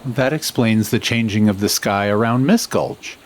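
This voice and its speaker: A man playing an earnest, knowledgeable adventurer who guides his companions in a fantasy audio drama, expressive and explanatory. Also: on the low side, normal speed, lightly acted. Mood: earnest, informative.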